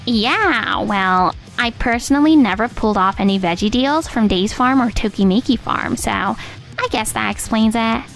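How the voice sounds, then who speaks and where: High, creaky, snobbish young woman played by an actress. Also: moderate pace, soft background music, lightly acted, rough recording. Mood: flustered. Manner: dismissive, theatrical. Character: haughty, snobbish younger sister, a supermarket sales rep. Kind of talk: expressive manga dub voice acting.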